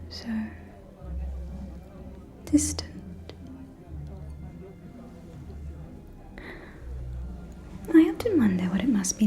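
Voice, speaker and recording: A woman playing a haughty, gossipy vampire noblewoman in a whispered ASMR roleplay, catty and conspiratorial, speaking close to the microphone in drawn-out phrases. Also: mid-range voice, dark tone. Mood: probing, sly. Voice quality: breathy whisper with murmured phrases. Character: haughty, gossipy aristocratic female vampire at a ball.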